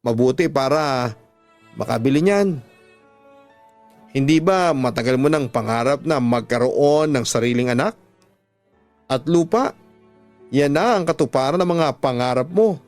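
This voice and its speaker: A man's voice: medium pitch, a little slow, pauses often, a little mellow, soft background music, natural voice. A man voicing a remorseful husband who earnestly pleads with his wife in a radio drama.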